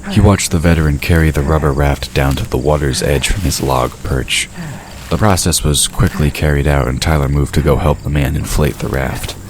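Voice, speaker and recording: A man delivers scripted narration for an audio drama. His pitch is exceptionally low. Noisy ambience and effects sit clearly behind the voice. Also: moderate pace.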